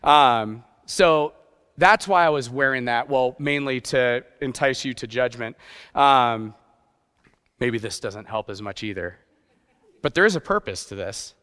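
A youth pastor preaching a sermon in a conversational way, with rhetorical questions and playful asides. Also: male voice, mid-range voice, a bit bright, smooth. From grew up partly in Japan. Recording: long hall reverb.

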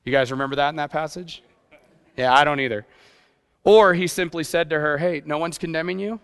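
A youth pastor preaching a sermon in a conversational way, with rhetorical questions and playful asides. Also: male voice, on the high side, brisk, fairly bright tone, clean tone. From grew up partly in Japan. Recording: long hall reverb.

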